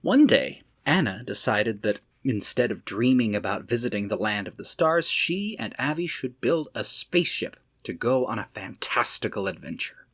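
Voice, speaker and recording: Animated male storyteller with wide-swinging pitch. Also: normal speed, few pauses. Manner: expressive, dramatic emphasis. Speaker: storyteller for a children's charity. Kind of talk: animated children's story reading.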